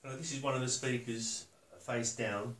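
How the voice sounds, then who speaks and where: An older man giving a casual step-by-step demonstration, halting and matter-of-fact as he thinks aloud while working. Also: mid-range voice, fairly even intonation, fairly bright tone. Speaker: woodworker demonstrating his build. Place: concrete-block workshop and house room. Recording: echoey room, faint noise, handling clicks.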